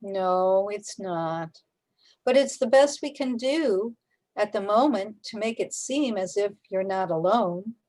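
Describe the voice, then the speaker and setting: A woman playing a calm, knowing afterlife guide, measured and explanatory, in a sci-fi radio drama. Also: mid-range voice, natural voice. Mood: calm, reassuring.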